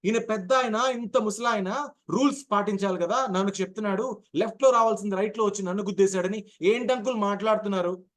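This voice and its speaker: A man's voice: sits high, fast, fairly bright tone. A man voicing an angry young woman student in a lesson example, argumentative, indignant and defiant. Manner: retorting, indignant.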